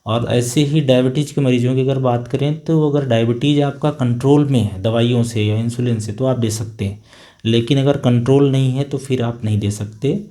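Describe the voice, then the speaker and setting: A middle-aged male doctor speaks with a mellow, warm voice at an ordinary pitch. He explains things calmly and patiently, in a plain, unhurried manner with the odd thinking pause. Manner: calm, patient, plain-spoken with filler pauses. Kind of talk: explanatory health talk.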